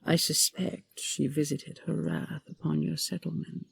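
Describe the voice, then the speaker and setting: A woman voicing an ancient, imperious dragon queen in an audio roleplay, breathy and gravelly, with a regal, commanding manner. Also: low-pitched, level tone, a little slow, full character voice. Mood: haughty, softening. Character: ancient imperious female dragon queen, stern, possessive, condescending.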